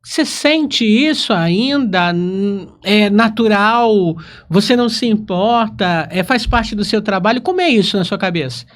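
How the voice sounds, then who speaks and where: A man's voice: high-pitched, expressive, unhurried, hardly any pauses, fairly bright tone. A man with a Brazilian accent hosting a casual, conversational interview. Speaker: podcast host, interviewer.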